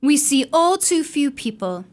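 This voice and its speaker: A woman performs a defiant young lady of good family in a literary radio drama. Her voice is fairly high. She speaks primly, with outspoken spirit. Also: big pitch swings, measured pace. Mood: indignant, defiant. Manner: prim, outspoken. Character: spirited, defiant young gentlewoman, the husband's sister.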